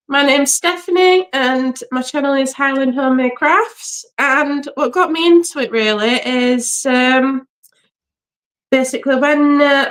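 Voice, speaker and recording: A woman's voice: high-pitched, poor audio. Laughing chatty English woman. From England. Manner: warm, chatty, laughs often. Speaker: guest. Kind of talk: casual live-stream chat. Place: home by the sea.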